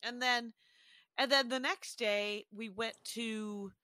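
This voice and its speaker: Bright, higher-pitched chatty woman. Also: steady tone, slow, dry recording. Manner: rambling, self-interrupting storyteller with frequent laughs. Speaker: podcast co-host. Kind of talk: casual chat.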